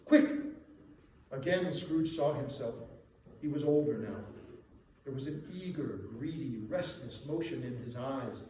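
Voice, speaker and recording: A man's voice: mid-range voice, dark tone. Theatrical male storyteller in an echoey room. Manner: theatrical, emphatic, deliberate phrasing. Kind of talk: dramatic literary reading. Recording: very echoey room, distant mic, background noise.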